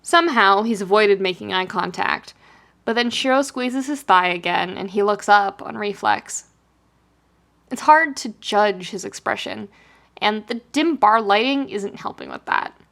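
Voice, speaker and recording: A woman reading fiction aloud as a podfic narrator, in an expressive scripted storytelling style. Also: mid-range voice, gravelly.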